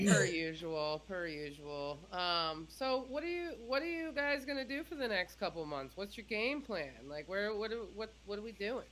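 A woman in her thirties with a bright, forward voice hosts an informal video-call chat. Her pitch sits in the middle for a woman. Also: expressive, normal speed, hardly any pauses. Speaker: host. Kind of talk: casual chat.

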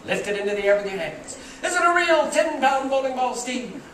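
Male stage magician, wisecracking, animated, heard from the back of a roomy theater. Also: very high-pitched, brisk, a crowd in the background. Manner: wisecracking, teasing a volunteer. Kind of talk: live comedy magic act. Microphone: far audience camera. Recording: roomy theater sound from far off. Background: laughing, cheering audience.

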